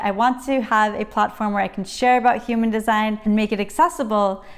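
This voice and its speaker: A young woman in casual talk to camera, chatty and enthusiastic, often breaking off to interrupt herself. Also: fairly high pitch. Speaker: YouTuber sharing her channel story. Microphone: camera at desk, reading notes off a computer.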